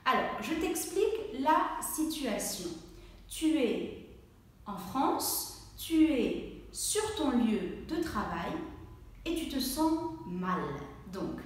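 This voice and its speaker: A woman teaching a language lesson, speaking slowly and clearly, acting out coughs and sneezes and encouraging the viewer directly. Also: high voice, very animated. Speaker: French teacher. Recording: very echoey room, voice from far off the mic.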